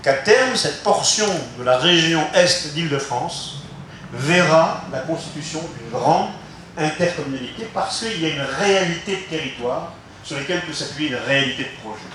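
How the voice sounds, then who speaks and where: A man speaks from some way off in a strongly echoing room, with faint noise around him. He explains like a local politician, didactic and emphatic, pausing and searching for his words. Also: a little high, animated, a bit bright. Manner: halting, emphatic, didactic with rhetorical questions. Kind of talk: unscripted explanatory speech to listeners. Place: reverberant room, recorded from some distance. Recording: very echoey room, distant mic, faint noise.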